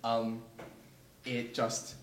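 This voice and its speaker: A man's voice: mid-range voice, monotone, very slow, reverberant, a little hiss or hum, low-quality recording. A young man telling a story on a panel game, hesitant with many ums. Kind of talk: casual anecdote.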